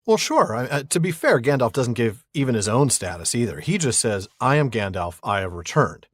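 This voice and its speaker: A man's voice: medium pitch, big pitch swings, fairly quick, no room sound, studio quality. A man co-hosting a podcast, reading listener mail and reasoning aloud in an easygoing way, chuckling often. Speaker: podcast co-host.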